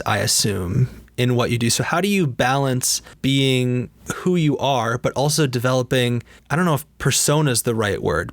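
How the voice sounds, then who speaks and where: A man's voice: mid-range voice, no echo. A man interviewing a guest, asking long, thoughtful questions full of hedges and restarts.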